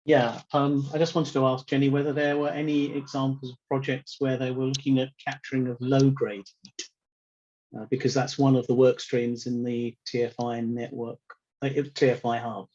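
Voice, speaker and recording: A man in the audience asks a question over a video call, speaking tentatively. His intonation is very flat. The audio is dull and lo-fi. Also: mid-range voice, moderate pace. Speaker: audience member. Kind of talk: asking a question.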